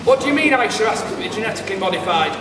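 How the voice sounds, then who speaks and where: A man's voice: high-pitched, expressive, very fast, crowd noise. A man presenting a comic scripted stage introduction with mock-grand announcing. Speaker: pantomime presenter. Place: large hall, live stage performance, recorded from afar. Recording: distant, echoey hall, loud background noise.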